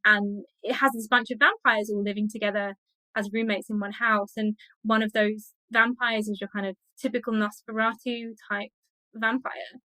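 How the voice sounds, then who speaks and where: A woman's voice: mid-range voice, little pitch movement, normal speed, sharp tone, no echo, poor audio. A woman speaking as an expert guest in a conversational interview, explaining her thoughts with enthusiasm.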